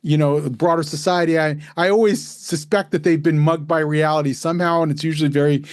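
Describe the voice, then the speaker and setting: A man's voice: on the high side, steady tone, a little fast, a little mellow, no echo, clean studio sound. A man hosting a podcast interview, talking in a loose, rambling way as he sets up his questions. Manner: rambling with fillers and restarts. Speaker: podcast host.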